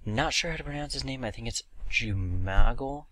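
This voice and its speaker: A man's voice: mid-range voice, lively intonation, moderate pace, bright, forceful tone. A man giving casual, informal gameplay commentary, explaining things to viewers as he goes. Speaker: video game commentator. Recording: music behind the voice. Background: game music.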